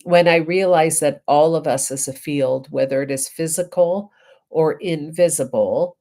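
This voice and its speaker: A woman reverend getting over a head cold, giving a reflective spiritual talk with deliberate, emphatic phrasing and pauses. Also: a bass voice, warm tone.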